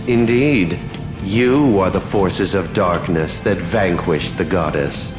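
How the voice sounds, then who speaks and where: An actor voicing a grandiose, scheming, power-hungry villain in a video game, pompous and theatrical with a sneering delivery. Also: male voice, deep voice, takes it slowly, in a large hall, music in the background. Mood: smug, condescending. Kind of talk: dramatic video game voice acting.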